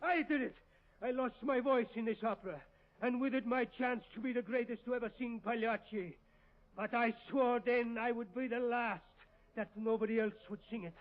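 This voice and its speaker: A shrill, desperate ruined tenor villain. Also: male voice, normal speed. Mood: confessing. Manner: desperate, theatrical outbursts. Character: bitter ruined male opera tenor turned killer. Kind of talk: 1940s radio acting. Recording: dull lo-fi old radio recording.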